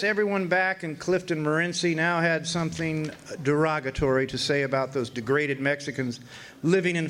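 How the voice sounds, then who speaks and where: A man's voice: a little high, fairly bright tone, slight background noise, natural voice. A man playing a wry, folksy narrator who recalls an old frontier court case in a radio drama, with hesitant storytelling.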